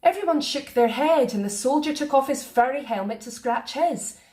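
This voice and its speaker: A woman reading a children's picture book aloud in a playful, expressive way. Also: sits high, normal speed. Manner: expressive, sing-song. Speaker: storyteller.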